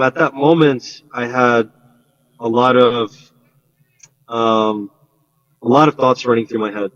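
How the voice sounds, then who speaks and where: A man on a community panel telling a personal story in an earnest, halting way with many fillers. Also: medium pitch, dull audio.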